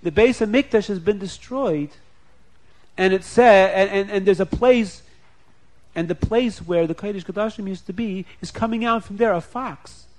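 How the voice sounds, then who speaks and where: A man's voice: sits high, moderate pace, a little mellow, voice pushed up, lightly acted. A man voicing a group of grieving ancient male sages, upset and questioning insistently. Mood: distraught.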